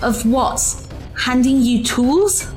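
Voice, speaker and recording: Earnest, high-voiced time-travelling companion. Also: female voice, expressive, slow pace, a little mellow, slight background noise. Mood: practical. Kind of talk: full-cast audio drama acting.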